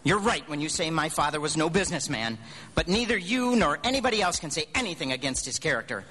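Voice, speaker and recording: An earnest young American small-town man in a radio play. His voice is bright and fairly high, swinging widely in pitch. He talks in a folksy, hot-blooded way and stammers when flustered. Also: sing-song, fast, big-room sound, low-quality recording. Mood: indignant, impassioned. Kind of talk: live radio drama acting. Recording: live stage radio broadcast.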